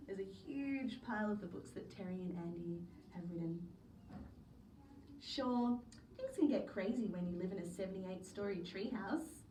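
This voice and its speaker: A woman reading a children's story aloud as a teacher, in an animated narrating style. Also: medium pitch, measured pace. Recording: roomy, distant mic, faint noise.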